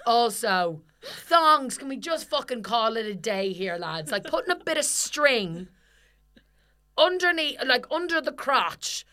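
A young woman, co-hosting a casual comedy podcast and ranting animatedly with lots of swearing, her voice slightly husky. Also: a little high, big pitch swings, unhurried, sharp tone. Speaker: podcast co-host.

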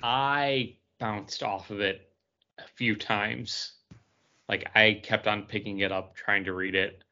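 Bright-voiced, low-pitched man in casual discussion. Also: steady tone, takes it slowly, dull audio. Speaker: podcast co-host reviewing comic books.

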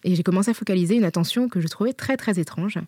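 A woman's voice: mid-range voice, very fast, warm tone, slightly rough, dry recording, studio quality. A young adult woman from the Paris area, chatting casually and thoughtfully with frequent fillers and restarts. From Paris area, France. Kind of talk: casual conversational chat.

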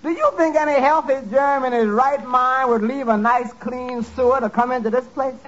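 Casting: sardonic tavern waiter, 1940s radio sitcom, strikingly high voice, muffled and very noisy archive sound. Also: male voice, steady tone, normal speed. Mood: wry. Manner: wry, sardonic. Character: wry, deadpan male tavern waiter puncturing his boss. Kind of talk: 1940s radio comedy acting.

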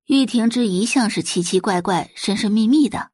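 A woman's voice: mid-range voice, moderate pace, darkish tone, breathy edge, dry recording, clean studio sound. A woman narrating a scripted audio drama in a dramatic storytelling style.

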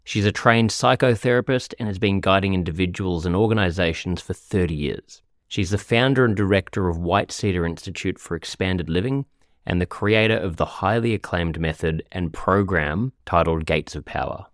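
A man's voice: sits low, moderate pace. A man hosting an interview podcast, reading a guest introduction and then asking questions in a rambling, hesitant way. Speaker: podcast host.

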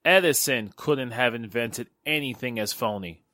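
A man's voice: medium pitch, normal speed, bright, forceful tone, dry recording, clean studio sound, lightly acted. A man acting a playful, wisecracking fellow in a 1940s-style radio drama, with jokey, theatrical patter. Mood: playful, wry.

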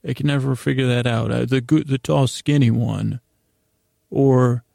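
A man hosting a bedtime podcast in a gravelly voice, rambling in a halting, self-deprecating way that trails off mid-sentence to lull listeners to sleep. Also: medium pitch, fairly even intonation, dark tone, no echo, studio quality. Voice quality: gravelly, creaky. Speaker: podcast host.

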